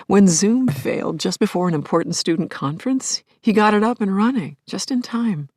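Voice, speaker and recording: Actress as grieving middle-aged widow, audio drama monologue, wistful, wry, reflective, hushed pauses, mid-range pitch, dry sound. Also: female voice, lively intonation, dry recording, close to an everyday voice. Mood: wondering, happy. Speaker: actor in an audio play. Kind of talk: intimate dramatic first-person monologue.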